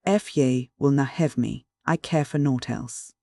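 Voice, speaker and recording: A man voicing a rough, earnest shepherd with a husky Northern English dialect, blunt and stubborn. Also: mid-range voice, unhurried, mellow, no echo, studio quality, natural voice. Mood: pleading. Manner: blunt, pleading, dialect-heavy.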